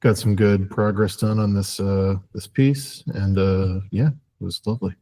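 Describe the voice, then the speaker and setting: A man's voice: lowish voice, steady tone, measured pace, dark tone. A man with a rich, full timbre chatting casually on a call, agreeable and giving short replies. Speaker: guest on a call. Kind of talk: casual chat.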